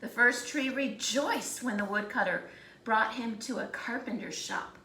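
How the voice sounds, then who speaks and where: A woman narrating a children's storybook aloud with deliberate, dramatic phrasing. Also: fairly high pitch, sharp tone. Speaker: storybook reader. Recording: roomy echo, faint background noise.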